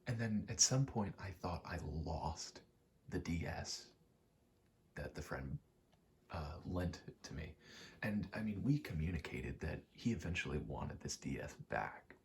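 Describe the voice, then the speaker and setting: A man in his twenties doing a casual ASMR gaming ramble, soft-spoken and often whispering, in a halting, meandering way. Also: sits low, lively intonation, vocal fry. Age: 20s. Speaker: ASMR gaming video host. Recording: faint noise, game button clicks. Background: controller clicking, faint background noise.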